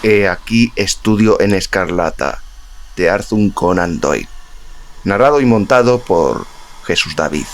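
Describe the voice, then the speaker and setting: A man reading a literary story as an audiobook narrator, in a measured, solemn, dramatic style with deliberate pauses. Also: low voice, animated, a little hiss or hum. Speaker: audiobook narrator and podcast host. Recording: music behind the voice. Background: background music.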